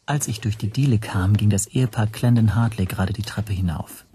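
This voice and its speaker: Actor as a mellow, lowish, brooding male narrator. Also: slight background noise, close to an everyday voice. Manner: introspective, measured. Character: brooding, anxious man recounting his own predicament. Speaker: first-person narrator. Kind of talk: scripted radio drama narration.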